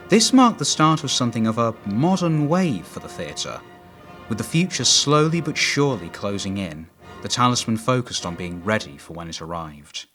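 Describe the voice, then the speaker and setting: A man's voice: fairly low pitch, a bit bright. A man narrating a documentary from a script.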